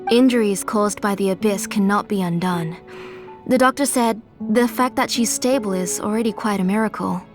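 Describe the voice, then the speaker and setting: An actress playing a gentle mother caring for her ill daughter in a video game cutscene, with a soft, calm, motherly voice. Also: medium pitch, normal speed, music in the background, natural voice. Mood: sorrowful.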